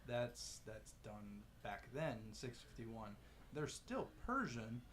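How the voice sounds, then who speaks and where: A man's voice: medium pitch, big pitch swings, slow, fairly bright tone, slight background noise. A man joining in casual podcast banter with a blunt, slangy way of talking.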